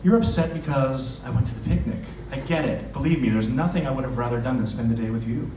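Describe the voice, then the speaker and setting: A man's voice: medium pitch, fast, dark tone, natural voice. A man reading aloud the part of a worried, defensive boyfriend in literary fiction, speaking earnestly. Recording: echoey live room, distant mic, noisy.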